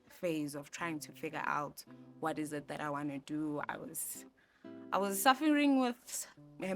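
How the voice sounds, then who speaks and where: A South African woman in her twenties, talking in a soft, reflective way with many fillers and restarts during an interview. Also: low voice. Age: 20s. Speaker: interviewee, graphic designer. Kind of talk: relaxed personal interview. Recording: music bed playing behind voice.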